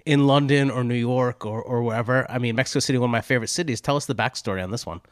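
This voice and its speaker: Guy hosting a podcast chat, talks fast, jokey, dry room. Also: mid-range voice, no room sound. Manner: chatty, quick, joking. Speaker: host. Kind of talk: casual conversation.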